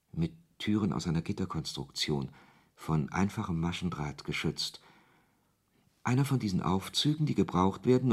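A man's voice: low-pitched, full character voice. A man reading scripted literary narration as the narrator of a radio play. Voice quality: husky.

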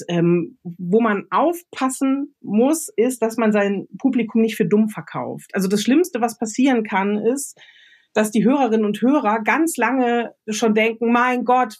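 A woman speaking unscripted as a guest author, explaining her advice step by step as she thinks aloud. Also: on the high side. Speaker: guest expert, radio drama author. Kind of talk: unscripted expert advice in conversation.

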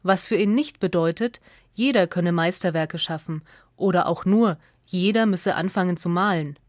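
A woman reading a scripted radio essay as narrator, in a measured, factual and articulate way. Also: lowish voice, dry recording, clean studio sound.

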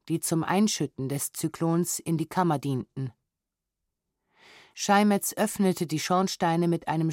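A woman reading a historical testimony as scripted narration in a measured, sober manner. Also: on the low side, a little mellow, no room sound, studio quality. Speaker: reader of historical documents.

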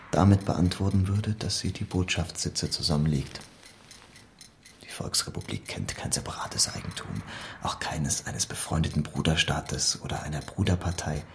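An actor playing a loyal, guarded East German communist cadre in an audio drama monologue, deliberate and confiding, often sinking toward a whisper. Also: male voice, very deep voice, a little hiss or hum. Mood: sober, reporting. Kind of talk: literary monologue in an audio play.

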